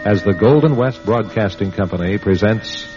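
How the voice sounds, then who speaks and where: A man's voice: lowish voice, moderate pace. A man hosting and narrating a radio suspense program in a scripted, dramatic storytelling style. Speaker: host and narrator. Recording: muffled old radio broadcast. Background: loud dramatic music.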